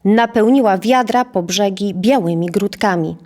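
A woman's voice: mid-range voice, moderate pace, darkish tone. A woman narrating a scripted historical tale as the storyteller of an audio drama.

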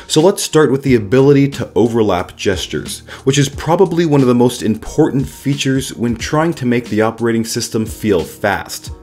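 A man with a cold, his voice slightly nasal and raspy, narrating a tech explainer as the channel's host in a steady, explanatory way. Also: medium pitch. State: sick with a cold. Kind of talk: scripted voice-over narration.

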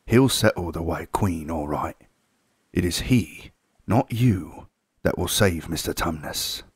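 A man voicing a grave, homely male beaver in a storybook, with a husky voice and an unhurried way of talking. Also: low-pitched, animated, no room sound, studio quality, heavily acted.

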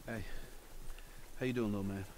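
A man's voice: lowish voice, fairly even intonation, moderate pace, noisy background, close to an everyday voice. An actor with an American accent playing a friendly, protective man surviving a ruined world in a video game. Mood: relieved, friendly. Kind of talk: naturalistic video game acting.

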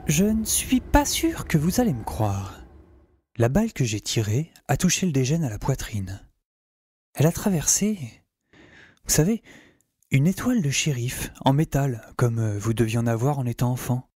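An actor playing a wry, excitable young male survivor narrating his wasteland adventure in a wordy, theatrical, self-mocking way for an audio saga. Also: medium pitch, moderate pace, breathy edge, dry recording. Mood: amazed, determined. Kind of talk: dramatised first-person audio saga narration. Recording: loud music bed under the voice.

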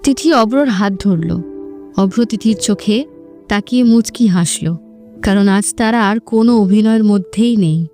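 A woman's voice: mid-range voice, unhurried, mellow, soft background music. A woman narrator reading a scripted romantic story in a dramatic storytelling style.

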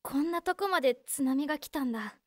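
A woman playing a supportive, hopeful teenage girl in a radio drama, gentle, girlish and expressive. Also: sits high, brisk, no echo, studio quality. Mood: gentle, concerned.

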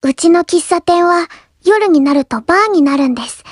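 A young coffee-house girl in an anime mobile game, with a high, small voice. She speaks softly and politely, reserved and a little shy but earnest. The sound is dry, with no room around it. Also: normal speed, dry recording. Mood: shy, modest. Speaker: game character voice actor. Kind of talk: scripted anime mobile game character lines.

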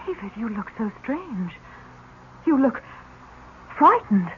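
A genteel, insistent lady with a high, swooping voice. She is earnest and anxious, acting in 1970s radio drama style. The sound is a thin, phone-like old broadcast. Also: sing-song, slow pace, heavy background noise.

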